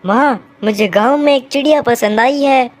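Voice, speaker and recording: Cartoon young male crow a woman voicing him: high-pitched, earnest, insistent city son. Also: normal speed, close to an everyday voice.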